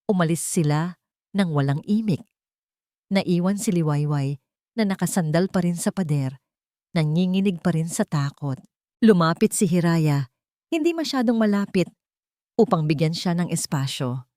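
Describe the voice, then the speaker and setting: Low-pitched Filipino woman narrating, dry sound. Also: expressive, normal speed, long pauses, dark tone, no room sound. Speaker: story narrator. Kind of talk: dramatic scripted narration of a Tagalog love story.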